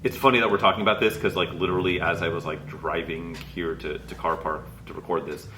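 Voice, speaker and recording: A man's voice: sits low, steady tone, fast talker, noisy background. A man co-hosting a podcast, talking casually with lots of fillers and restarts. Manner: rambling, many fillers and restarts. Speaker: podcast co-host. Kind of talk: casual chat. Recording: roomy echo.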